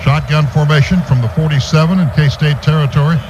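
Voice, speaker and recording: A man's voice: on the high side, steady tone, brisk. American man calling football, noisy broadcast. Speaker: radio play-by-play announcer. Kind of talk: live American football radio commentary. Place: stadium radio booth. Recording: noisy stadium radio broadcast recording. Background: clear background noise.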